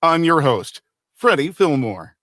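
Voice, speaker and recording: Man playing a formal old-time radio host, fairly high. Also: sing-song, unhurried, a bit bright, dry recording, studio quality. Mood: genial, formal. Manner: formal, theatrical announcer delivery. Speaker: host and narrator.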